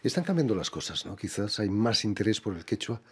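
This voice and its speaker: A man with a Spanish accent, a radio interviewer asking measured questions and ending them with tag questions. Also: lowish voice, sing-song, slightly rough. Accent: Spain Spanish.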